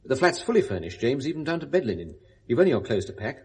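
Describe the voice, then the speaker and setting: A middle-aged man with a British accent acting an urbane, persuasive businessman in a 1970s radio drama, speaking briskly and frankly. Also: medium pitch, big pitch swings, a little mellow, slightly rough. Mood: persuasive, pressing. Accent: British English.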